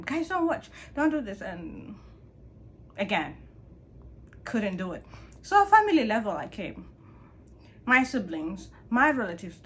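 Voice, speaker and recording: A woman vlogger from Kenya, talking candidly about letting go of control in a conversational personal monologue. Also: slightly rough. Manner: candid, conversational, animated emphasis with pitch jumps. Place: Canada.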